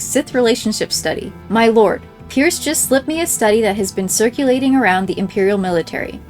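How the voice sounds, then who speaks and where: Woman reading game messages aloud over music. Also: a little high. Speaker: game playthrough creator reading in-game mail aloud.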